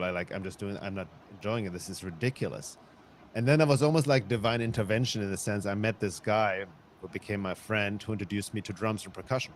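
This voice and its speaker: This is a man in his fifties from Germany speaking as a podcast guest. His voice sits low, and he tells his story in a reflective way, often restarting his sentences. A faint hum of outdoor traffic runs behind him. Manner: reflective, with frequent restarts and light laughs. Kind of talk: conversational interview storytelling.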